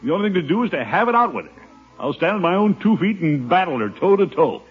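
An actor playing a pompous, blustering middle-aged uncle in a theatrical 1950s radio comedy style. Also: male voice, sits high, big pitch swings, quick pace, breathy edge, soft background music, full character voice. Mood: flustered. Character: pompous, flustered middle-aged uncle, boastful but easily cowed. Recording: muffled vintage 1950s radio recording.

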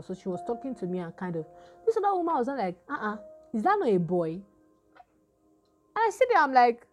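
Deep-voiced Nigerian young woman storyteller. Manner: animated storytelling with quoted dialogue. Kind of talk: casual chat.

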